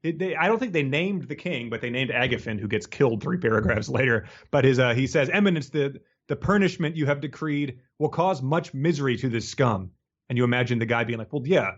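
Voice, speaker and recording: A man's voice: medium pitch, expressive, a little fast, highs cut off. A man co-hosting a casual book discussion, joking wryly as he comments. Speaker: podcast co-host.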